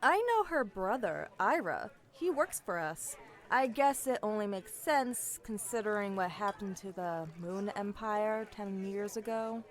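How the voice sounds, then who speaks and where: A woman's voice: medium pitch, animated, measured pace, natural voice. A woman playing a sassy, eager young female assassin in a fantasy audio drama, chatty and snarky. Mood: curious, excited. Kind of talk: amateur fantasy audio roleplay acting.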